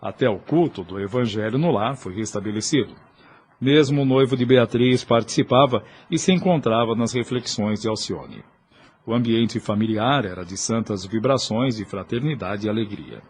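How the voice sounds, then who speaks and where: A man's voice: fairly low pitch, normal speed, slightly rough. A man with a Brazilian accent reading scripted, dramatic narration for an audio novel.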